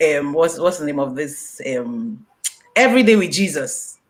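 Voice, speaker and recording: A woman whose first language is Yoruba, answering questions as an author at a book launch, animated and laughing, with many fillers. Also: low-pitched, normal speed, low-quality recording. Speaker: author being interviewed.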